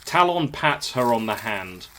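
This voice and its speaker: A man's voice: medium pitch, sing-song, unhurried, a bit bright, a little hiss or hum. A man narrating a scripted audio drama as the storyteller.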